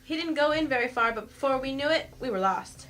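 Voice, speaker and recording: A brazen little lady crook, acted by a woman, talks in slangy, offhand phrases in a radio mystery. Her voice sits fairly high. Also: animated, a little fast, fairly bright tone. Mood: feigned innocence. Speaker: amateur voice actor in an audio mystery. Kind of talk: scripted radio mystery acting.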